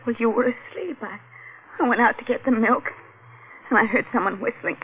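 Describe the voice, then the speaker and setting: Actress as terrified young-wife type, breathy and faltering, vintage radio thriller, narrow sound with faint hiss. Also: female voice, on the high side, a little fast, close to an everyday voice. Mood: shaken. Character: frightened wife of a detective, shaken by an attack. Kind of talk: 1940s radio melodrama acting. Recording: thin, noisy vintage radio.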